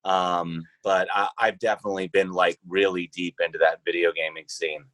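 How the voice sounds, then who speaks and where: A man in his thirties speaks in a fairly low voice. He is a podcast guest talking casually, and the sound is dry with no room echo. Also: little pitch movement, moderate pace, fairly bright tone. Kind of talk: casual chat.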